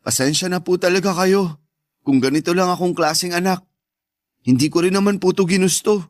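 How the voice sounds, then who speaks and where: A man's voice: high voice, brisk, dry recording, clean studio sound, natural voice. A young man playing a shy, apologetic son in a Tagalog radio drama, answering politely and timidly in his own defense. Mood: sheepish, downcast.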